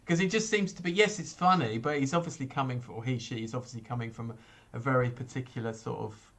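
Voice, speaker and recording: A man's voice: mid-range voice, fast, a bit bright. Male chat host, mid-range voice, informal, thinks aloud and keeps breaking off his sentences. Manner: self-interrupting, thinking aloud. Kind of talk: casual chat.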